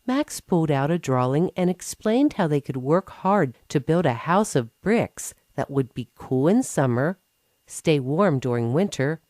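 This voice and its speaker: A woman reads a children's story in a low voice. Her delivery is very slow and richly melodic, and the recording is dry and close. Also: animated, darkish tone, dry recording. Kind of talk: scripted children's story narration.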